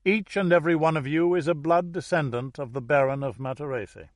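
A man voicing a formal, self-assured Dutch heir who addresses his relatives in a deliberate, commanding way, as in an audiobook thriller. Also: a little high, steady tone, dry recording, clean studio sound, voice pushed up, close to an everyday voice. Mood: solemn, dramatic.

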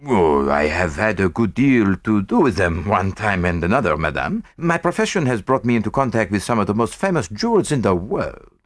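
A man's voice: on the low side, sing-song, moderate pace, dry recording, clean studio sound. A man voicing a fussy, courteous elderly Belgian detective with precise, mannered foreign phrasing, in an audiobook. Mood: gallant. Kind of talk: audiobook character voice.

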